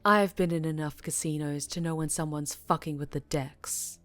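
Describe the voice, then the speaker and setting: A woman playing a dangerously charming female mafia boss in an ASMR roleplay, teasing and menacing, with deliberate purring delivery that drops toward a whisper. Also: low-pitched, fairly even intonation, a bit bright, faint music behind. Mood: smug, watchful. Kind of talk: ASMR roleplay audio drama, addressing the listener.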